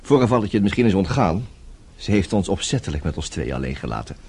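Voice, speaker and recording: A low, husky man's voice that leaps about in pitch. He is a radio-play suitor, earnest and flustered, hesitating and stammering as he goes. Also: sing-song, fast talker, a little mellow, exaggerated voice. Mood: awkward courting. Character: flustered, boyish suitor, earnest and easily indignant. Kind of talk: Dutch radio play acting.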